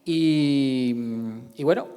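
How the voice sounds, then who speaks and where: A man's voice: a little high, fairly even intonation, drawn-out words, mellow. A man hosting an informal presentation, speaking effusively with hesitant, repeated phrasing. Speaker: event host and narrator of a radio serial. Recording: echoey hall with long reverb, faint music.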